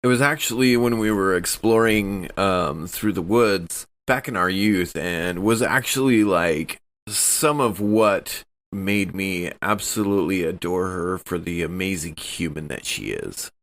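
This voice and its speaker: A man's voice: low voice, unhurried, few pauses, a bit bright. A man playing in a tabletop game podcast, improvising his character's story and chatting at the table.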